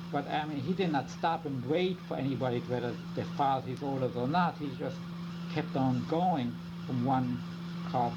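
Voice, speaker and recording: Older man, halting testimony, loud hum. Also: mid-range voice, dull audio. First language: German. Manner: slow, halting, careful recounting. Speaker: interviewee. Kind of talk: oral history testimony.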